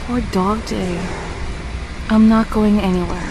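A woman voicing a gentle, concerned friend in a dramatic comic dub, caring and soothing. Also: medium pitch, fairly even intonation, a little slow, lightly acted, rough recording. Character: gentle, concerned female friend comforting someone. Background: music.

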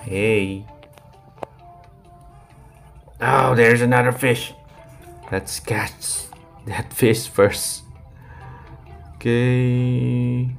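A man's voice: medium pitch, fairly even intonation, very slow, gravelly, long pauses. A man with an Indonesian accent gives casual gameplay commentary, chatting informally to his viewers. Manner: informal, addresses viewers as "guys". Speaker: gaming video creator. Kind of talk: casual gameplay commentary, mixing two languages. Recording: voice over background game music. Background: music.

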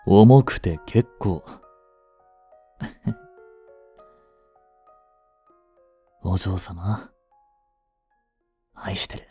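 A man voicing a devoted, protective young butler in a romantic voice drama, frantic while searching a fire and soft and tender at a bedside. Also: low voice, extremely slow, pauses often, breathy edge, soft background music. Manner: soft, gentle and intimate in the bedside scene.

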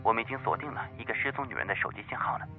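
A man voicing an urgent young policewoman through a phone filter, hurried and insistent, in an audiobook. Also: medium pitch, animated, pitched above the performer's own voice. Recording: tinny phone-line filter, music behind.

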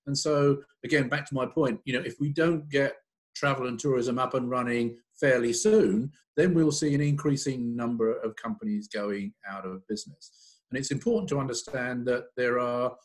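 Man, 60s, medium pitch, explanatory, repeats phrases, video-call panellist. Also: moderate pace. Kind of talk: online panel discussion. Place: room at home or office, video call.

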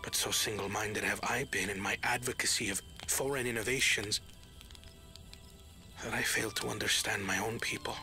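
An actor playing an earnest, bookish young prince in a video game, speaking in a measured, formal, reserved way. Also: male voice, lowish voice, fairly even intonation, natural voice. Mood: self-reproachful, troubled. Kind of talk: video game cutscene acting. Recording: background music under dialogue.

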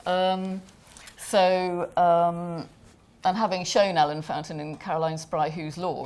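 A woman's voice: on the low side, darkish tone, slight background noise. A woman film director answering questions on a panel, hesitant, with many fillers, in a large gallery room.

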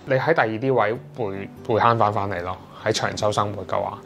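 A man in his thirties with a Hong Kong Cantonese accent, chatting in an interview at home and pausing often with fillers and restarts. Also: fairly low pitch, gravelly, fairly bright tone, soft background music. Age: 30s. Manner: hesitant, many fillers and restarts. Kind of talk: casual interview chat. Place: indoors in a sea-view flat.

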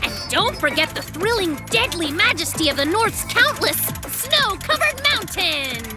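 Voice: very high voice, big pitch swings, a little slow, over music, exaggerated voice. An actor voicing an eager, bossy, boastful little bear cub in a children's cartoon, with a showy, theatrical delivery. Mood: dramatic. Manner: showy, overconfident, theatrical announcing.

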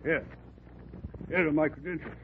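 A man's voice: fairly high pitch, moderate pace, natural voice. A man playing a sly, courteous villain with formal, oily politeness in a 1940s radio drama. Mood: smoothly menacing. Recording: tinny, faintly noisy old radio recording.

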